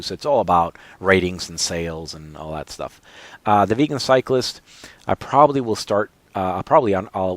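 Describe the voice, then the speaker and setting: Low-voiced man in casual rambling chat. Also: no room sound. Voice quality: described as boring-sounding. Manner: rambling, frequent fillers and restarts. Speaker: cycling channel host. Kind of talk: casual chat reviewing channels.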